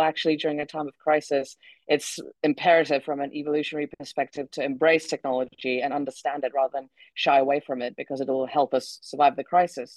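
Young Czech-born woman, British English accent, fluent chatty podcast guest, flat even delivery, dry recording. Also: low-pitched, no echo, rough recording. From Czech Republic. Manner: quick, chatty, with many "kind of" and "like" fillers and frequent laughs. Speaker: guest, researcher. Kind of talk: casual interview chat. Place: remote call from home.

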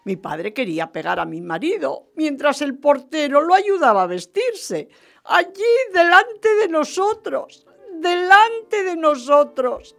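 Woman playing a high-voiced, theatrical scheming marquise. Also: sing-song, slow, vocal fry, fairly bright tone, exaggerated voice. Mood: excited, gleeful. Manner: theatrical, exclamatory, dramatic. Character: gleeful, scheming marquise gossiping about her divorce. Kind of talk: radio theatre storytelling.

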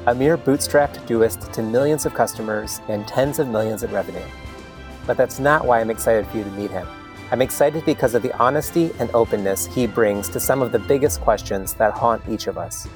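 A man's voice: medium pitch, fairly quick, soft background music. A man hosting a podcast who introduces his guest earnestly and speaks with open, heartfelt praise. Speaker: podcast host.

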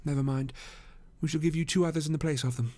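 A gentleman detective from a storybook, voiced by a male narrator. He speaks in a raised, precise voice, commanding and self-assured with quiet amusement underneath. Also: on the high side, steady tone, fast talker, pitched above the performer's own voice, close to an everyday voice. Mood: commanding, brisk.

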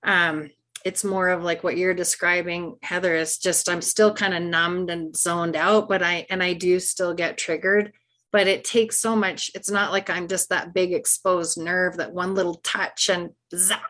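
A woman's voice: on the low side, fairly even intonation, unhurried, fairly bright tone. A woman in casual conversation, reflective and agreeable, who keeps affirming the others. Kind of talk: casual chat.

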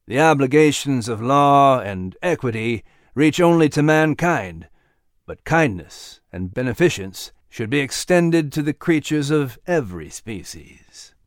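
A man voicing a gentle, grave male scholar of the ancient world, measured and reflective, in an audio story. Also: mid-range voice, expressive, no room sound, clean studio sound, natural voice. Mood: solemn.

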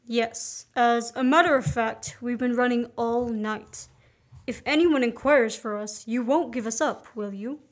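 Young woman doing a guy on the run, higher voice, dry sarcastic and bossy, radio drama style. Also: fairly even intonation, a little slow, lightly acted. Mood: smooth, covering up. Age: young adult. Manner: sardonic, commanding. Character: fugitive man, sardonic, bossy, wrongly accused. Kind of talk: student radio play acting.

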